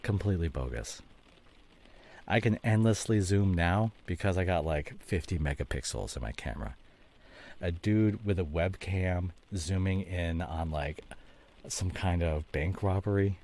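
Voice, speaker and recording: Devoted, remorseful husband for intimate audio roleplay, male actor: gravelly, breathy, unhurried delivery that moves around expressively and drops soft. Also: low-pitched, animated. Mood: casual, teasing. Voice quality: husky, gravelly, often breathy. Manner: soft, halting, drops into whispers. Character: remorseful, devoted husband nursing his injured wife, teasing. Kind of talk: intimate ASMR roleplay drama.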